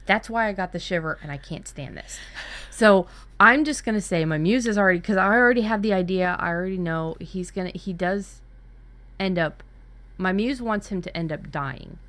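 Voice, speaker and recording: A woman's voice: fairly low pitch, moderate pace, a bit bright, dry recording. A woman in casual brainstorming chat as a workshop co-host, talking candidly and dramatically, with some swearing. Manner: candid, sweary, dramatic about spooky ideas.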